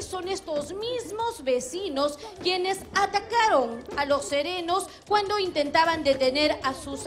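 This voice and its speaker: A young Peruvian woman reporting live from a city street, formal and brisk, interviewing an official. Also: high-pitched. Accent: Peruvian Spanish. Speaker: field reporter. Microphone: handheld mic.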